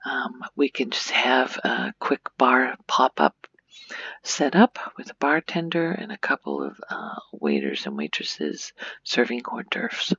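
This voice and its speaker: A soft-spoken woman guides a game tour in ASMR style, her breathy voice often falling to a whisper. She rambles along without hurry, and her phrases swing noticeably in pitch. The sound is dry and a little muffled. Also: big pitch swings, dry recording. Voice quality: breathy, creaky. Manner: unhurried, rambling, thinking aloud. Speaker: gaming ASMR host.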